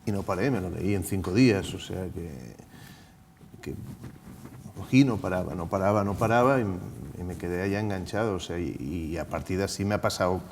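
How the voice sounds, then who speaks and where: A man's voice: deep voice, fairly quick, a little hiss or hum. A man with a Catalan accent, a theatre director talking on a discussion panel, stumbling and restarting often. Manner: hesitant, many restarts and repeated words. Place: auditorium at a round table. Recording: reverberant hall.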